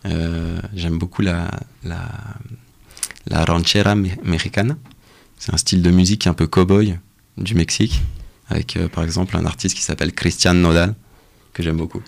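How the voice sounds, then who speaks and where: A young man with a low, gravelly voice is being interviewed as a researcher. He explains his work casually and haltingly, pausing often as he looks for words. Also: fairly even intonation. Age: young adult. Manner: hesitant, halting delivery with frequent pauses. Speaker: interview guest, doctoral researcher.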